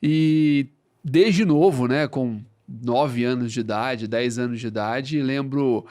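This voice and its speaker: A man's voice: mid-range voice, slow pace. Young capixaba guy chatting on a podcast, loose and rambly, keeps tagging "né?". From Espírito Santo, Brazil. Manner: relaxed, rambling with fillers and "né?" tags. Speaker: guest, franchise founder. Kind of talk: casual interview storytelling.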